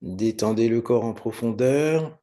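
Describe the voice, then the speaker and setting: A man teaching guided meditation, giving unhurried, soothing step-by-step instructions with pauses. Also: medium pitch, dark tone. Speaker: yoga nidra meditation teacher.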